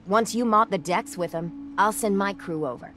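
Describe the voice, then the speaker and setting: An actress playing a tough, wry shipwright in a video game, speaking in a terse, dry, halting way. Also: female voice, medium pitch, fairly bright tone, soft background music, lightly acted. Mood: wry, confident. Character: tough, wry female shipwright in a harbor town. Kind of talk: video game character acting.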